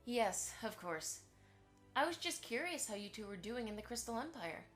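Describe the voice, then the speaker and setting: A woman's voice: a little high, very animated, normal speed, fairly bright tone, soft background music. A woman playing a formal, courteous and pointedly inquisitive pony publisher in a fan audio drama. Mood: polite curiosity. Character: polite, prying pony publisher, a probing female socialite.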